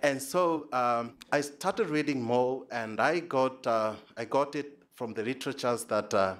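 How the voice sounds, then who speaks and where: A man with a Tanzanian accent giving an academic conference presentation as a PhD student, hesitant and halting, often repeating words. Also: medium pitch.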